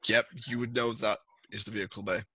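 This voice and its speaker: A man's voice: fairly low pitch, steady tone, quick pace, bright, forceful tone, husky, dry recording. A man running an online tabletop role-playing game, describing scenes and answering players casually. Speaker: game master. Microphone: close mic on a group video call.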